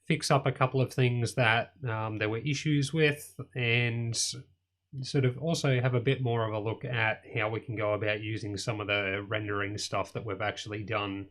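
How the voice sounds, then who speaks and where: A young man hosting a casual electronics coding tutorial, slightly hoarse as he loses his voice, rambling with lots of ums and sort-ofs. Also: on the low side, fairly even intonation, normal speed, a bit bright. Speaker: host of an electronics channel.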